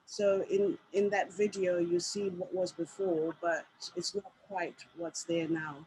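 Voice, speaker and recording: A woman from Zimbabwe hosting an online call, speaking casually with many pauses and fillers. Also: lowish voice, little pitch movement, a little mellow, slight background noise, rough recording. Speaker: host and artist. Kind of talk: casual video call talk. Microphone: video call.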